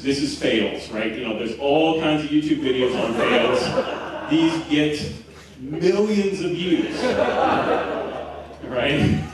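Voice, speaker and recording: A man's voice: mid-range voice, a little hiss or hum. A man in his forties from Houston giving a chatty, joking conference talk with lots of fillers and restarts, into a handheld mic in a festival tent. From Houston, Texas. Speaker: conference speaker. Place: large tent at an outdoor festival. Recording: roomy tent captured from a distance.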